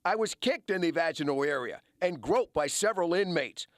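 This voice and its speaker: A man's voice: fairly high pitch, lively intonation, moderate pace, no echo, studio quality. A man with an American accent reporting in a broadcast news style as a television investigative reporter.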